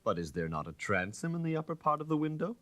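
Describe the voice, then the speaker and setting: Man voicing a suave, high-pitched gentleman sleuth. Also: big pitch swings, fast, no room sound. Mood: confident, dogmatic. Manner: dogmatic, tranquil, precise. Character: suave, self-assured gentleman, calm dogmatic amateur detective.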